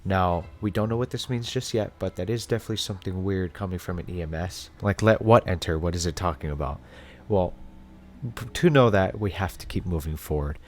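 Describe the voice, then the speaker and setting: A man with a fairly low voice hosts a commentary in a casual, conversational way. He explains things step by step and slips in wry, offhand remarks. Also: a little fast. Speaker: host. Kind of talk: casual video analysis commentary.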